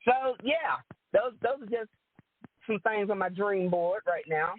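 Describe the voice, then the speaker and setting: A woman with a deep alto voice, chatting casually as a guest and laughing often. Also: expressive, unhurried. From Rome, Georgia, US. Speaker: guest singer and entertainer. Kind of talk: casual chat. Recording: dry phone-line call audio.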